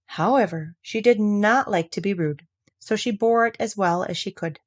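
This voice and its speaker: A woman's voice: medium pitch, normal speed. A woman narrating a storybook from a script as an audiobook reader.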